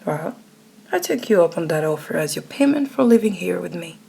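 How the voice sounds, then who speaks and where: A woman voicing a domineering, smug male boss in a hushed, breathy, commanding manner for an ASMR roleplay. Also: on the low side, expressive, fairly quick, exaggerated voice.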